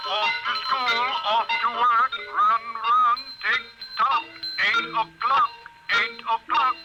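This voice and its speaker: An actor playing an automated talking house clock in an old radio drama, chanting rhymed reminders in a sing-song. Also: male voice, very high-pitched, little pitch movement, slow. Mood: insistent, chanting. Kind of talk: 1950s radio drama acting. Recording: old radio recording, music behind.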